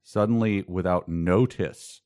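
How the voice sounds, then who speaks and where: A man with a fairly low voice talks as a casual podcast co-host. He is wry and amused as he discusses a book, with a halting way of getting through his thoughts. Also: a little mellow, no room sound, studio quality.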